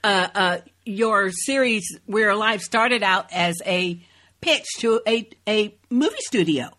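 A woman's voice: mid-range voice, takes it slowly, fairly bright tone. A woman co-hosting a casual, conversational interview podcast. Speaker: co-host interviewer.

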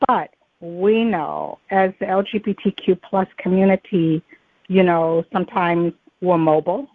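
A woman's voice: on the low side, a little slow, dry recording. A woman interviewing in a conversational, reflective way, wandering through her thoughts before she gets to the question. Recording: muffled narrow phone-like line.

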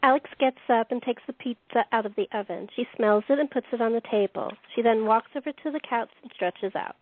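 A thin, phone-like recording carries a woman narrator. Her somewhat high voice reads the scripted stage directions of a radio play. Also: brisk, no echo.

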